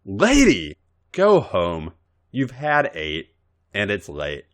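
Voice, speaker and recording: Man acting blunt, fed-up bartender, animated pitch. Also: takes it slowly, heavily acted. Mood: weary, stern. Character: gruff, fed-up male bartender.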